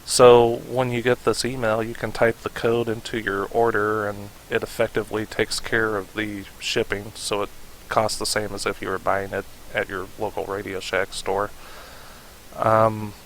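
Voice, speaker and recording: A man narrating a casual how-to tutorial in a halting manner, with pauses to think. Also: lowish voice, rough recording. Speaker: tutorial host. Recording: steady hiss behind the voice. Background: hiss.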